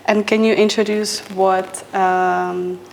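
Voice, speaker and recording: A woman's voice: mid-range voice, slow pace, gravelly, a little hiss or hum, rough recording. A woman hosting an interview, asking short questions and giving frequent brief acknowledgments. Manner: brief prompts and steady backchannel. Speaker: podcast host.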